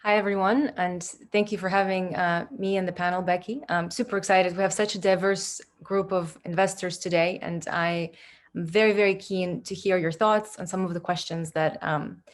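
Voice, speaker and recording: A woman's voice: on the low side, steady tone, moderate pace. A woman moderating an online panel, introducing speakers and asking questions with enthusiasm. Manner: enthusiastic, friendly.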